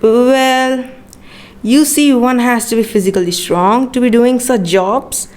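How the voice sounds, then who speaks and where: A woman's voice: on the high side, slow pace, darkish tone. A young woman with an Indian accent playing a polite, doubtful schoolboy friend in a school skit, speaking hesitantly. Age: teenager. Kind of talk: rehearsed school skit dialogue.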